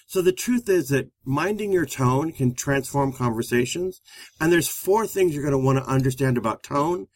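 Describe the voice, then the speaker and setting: A man with a loud voice who talks fast, co-hosting a live-streamed relationship talk show. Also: medium pitch, no room sound. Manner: fast-talking, energetic.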